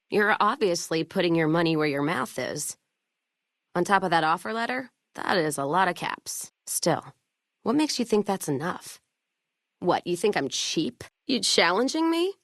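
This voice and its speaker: A woman playing a hard-drinking, sharp-tongued American caravan owner in a post-apocalyptic western game, with blunt, sardonic, folksy profanity. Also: lowish voice, sing-song, moderate pace, no echo, clean studio sound, exaggerated voice. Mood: defiant, irritated. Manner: blunt, sardonic, profane frontier drawl with folksy turns of phrase. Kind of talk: video game character dialogue.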